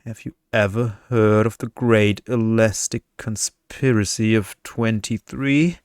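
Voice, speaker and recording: Male narrator as a young lady in a vampire romance, mischievous under duress, unhurried and clear, very dry close sound. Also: lowish voice, steady tone, a little mellow, no room sound. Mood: sly.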